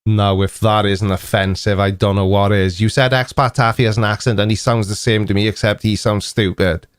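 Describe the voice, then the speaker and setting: A man's voice: on the low side, flat delivery, a little fast, clear, resonant tone, no echo. A man in his forties with a Welsh accent, hosting a casual live stream chat in a dry, teasing, mock-indignant manner. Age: 40s.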